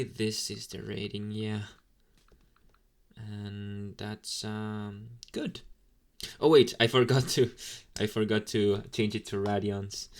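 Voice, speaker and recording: Man playing a slow-talking, sleepy slacker demon boy. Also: lowish voice, vocal fry. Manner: halting, casual, deadpan, mumbling asides. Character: young male demon, inexperienced, sleepy, mildly snarky slacker. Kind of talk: ASMR roleplay addressed to the listener.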